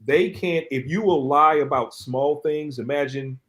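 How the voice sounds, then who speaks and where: A man's voice: fairly high pitch, measured pace. A man, a podcast co-host in a casual panel discussion, who tells stories in a rambling way with many restarts.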